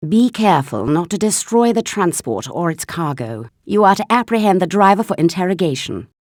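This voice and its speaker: A woman's voice: on the low side, very animated, moderate pace, a little mellow, dry recording, studio quality. A woman playing a stern intelligence chief in a spy video game, giving clipped, authoritative orders.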